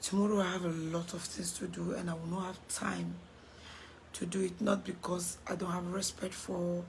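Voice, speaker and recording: A woman with a Nigerian accent who has lost her voice, speaking hoarsely and slowly, repeating herself and dropping into whispers. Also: on the low side, flat delivery, slight background noise. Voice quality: raspy, cracked voice. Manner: slow, halting, repeats herself, drops to whispers. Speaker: vlogger making an apology video. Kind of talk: casual direct-to-camera apology.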